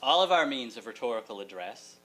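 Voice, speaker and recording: A man's voice: mid-range voice, expressive, a little fast, a bit bright. A middle-aged man delivering a prepared keynote address at a lecture hall podium, articulate and wry, with joking asides. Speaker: keynote speaker, college writing teacher. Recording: hum and room noise, distant camera.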